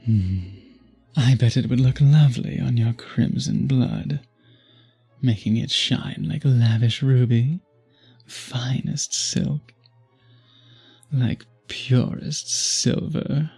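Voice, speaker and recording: A man voicing a charming, seductive vampire in a romantic ASMR roleplay, husky and breathy with a courtly, teasing manner, often dropping to a whisper. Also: medium pitch, darkish tone, faint music behind, full character voice. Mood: adoring, seductive. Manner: slow, courtly, teasing, flirtatious. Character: charming, seductive male vampire at a masquerade ball.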